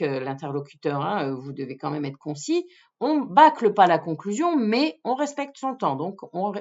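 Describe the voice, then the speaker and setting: A woman presents as a sales coach, with pitch that moves up and down in a lively way. She explains things step by step in a didactic, instructional style. The recording is a little dull and lo-fi. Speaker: phone sales coach presenting a tips video. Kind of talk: instructional talk to viewers.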